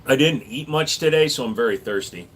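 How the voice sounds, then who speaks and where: A man at an ordinary mid pitch hosts a relaxed livestream, reading viewer questions out and answering them offhandedly. A faint hiss of noise sits under his voice. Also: a little fast. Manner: relaxed, offhand, reads viewer messages aloud. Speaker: gun shop owner and livestream host.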